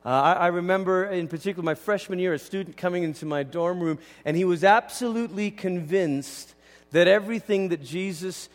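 A man's voice: sits high, a little fast. A man from Malaysia preaching as a pastor in a conversational, informal teaching style, often voicing quoted asides. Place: large indoor church hall. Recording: reverberant hall sound.

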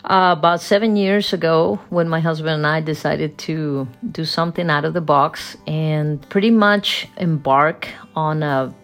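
Low-voiced woman farmer. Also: fairly even intonation, unhurried, hardly any pauses. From Costa Rica. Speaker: coffee farmer guest. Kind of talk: interview storytelling.

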